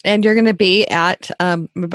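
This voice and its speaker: A woman's voice: fairly low pitch, fairly even intonation, moderate pace, dry recording, clean studio sound. A woman hosting a podcast, chatting casually and asking her guest questions in a friendly way. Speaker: podcast host.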